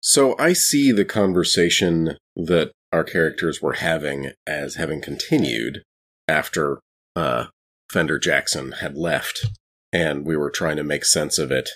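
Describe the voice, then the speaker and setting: A man's voice: a bass voice, a little slow, fairly bright tone. A man playing in a tabletop game, talking casually and describing what his character does.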